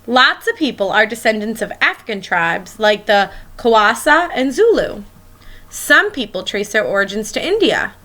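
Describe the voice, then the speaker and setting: Bright, animated woman reading to children. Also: fairly high pitch, moderate pace. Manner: expressive, asks questions to young listeners. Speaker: elementary school teacher reading aloud. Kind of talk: children's picture book read-aloud.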